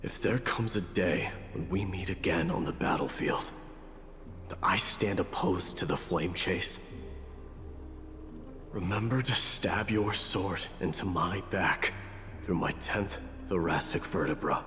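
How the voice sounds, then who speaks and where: Brooding game warrior dude, lowish voice, solemn n measured, resolute, clear music behind him. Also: male voice, fairly bright tone. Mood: grim resolve. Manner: measured, solemn storytelling delivery. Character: brooding male warrior heir, resolute and fatalistic. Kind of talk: dramatic video game dialogue. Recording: long hall reverb, clear background music.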